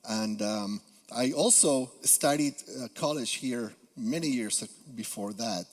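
A man from Peru with a Spanish accent delivers a church sermon at an unhurried, deliberate pace. His middle-pitched voice keeps stopping on little "uh" pauses as he works through his thoughts. A long reverb tail from the sanctuary follows every phrase. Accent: Spanish-accented English. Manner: halting with frequent "uh" fillers, earnest. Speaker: guest preacher.

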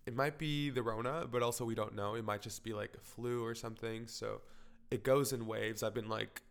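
Young man, mid-pitched, smooth clear voice a bit stuffy from a cold, confessional vlog ramble. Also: steady tone, a little fast. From Portugal. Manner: frank, rambling, heavy filler words and swearing. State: slightly congested with a cold. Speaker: vlogger. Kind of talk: candid personal vlog monologue.

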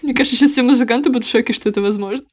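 A woman's voice: on the high side, rapid-fire. A woman interviewing a guest on a podcast in a conversational way.

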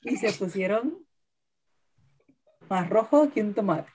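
A woman's voice: mid-range voice, animated, takes it slowly, dark tone, slightly rough. A woman co-hosting a podcast, telling stories in a chatty way and often tagging on "¿no?". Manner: chatty, keeps adding "¿no?". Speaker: podcast co-host. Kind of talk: casual chat over wine.

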